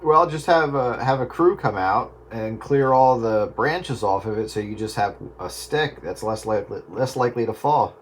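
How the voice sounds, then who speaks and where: A man's voice: medium pitch, animated, moderate pace, hardly any pauses. A man chatting casually as an amateur radio operator over the air. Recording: radio transmission, heavy static and clicks.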